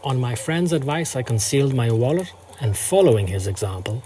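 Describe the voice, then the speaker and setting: A man reading a scripted first-person story in a measured, literary manner. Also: mid-range voice, animated, warm tone, slight background noise. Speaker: narrator.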